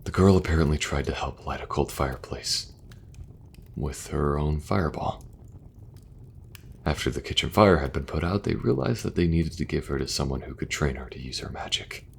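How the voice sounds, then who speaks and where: Man voicing a young fantasy king, audio drama, very deep voice, gentle, earnest, faint background hiss. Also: lively intonation, moderate pace, gravelly, full character voice. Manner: gentle, soft-spoken, faltering at awkward moments. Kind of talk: audio roleplay acting.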